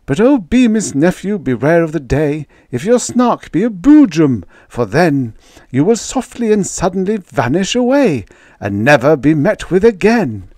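A man's voice: sing-song, a little slow, darkish tone, no echo, clean studio sound. A man voicing a mild, timid baker in a verse reading, in a raised, quavering, pleading voice. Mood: fearful. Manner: high, quavering, pleading, fretful. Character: timid, tearful middle-aged male baker.

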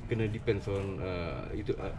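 A Malaysian man with a fairly low voice talks through technical points in a casual way. He hesitates often and searches for words as he explains. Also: steady tone, normal speed. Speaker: audio specialist. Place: small cluttered office, indoors.

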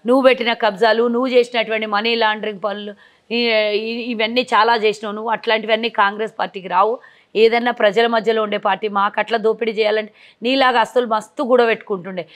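A forceful woman politician fires back rhetorical questions at a fast clip, her voice sitting on the higher side. She speaks outdoors into a lapel mic. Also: fairly even intonation. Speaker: party politician. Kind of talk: combative interview answers.

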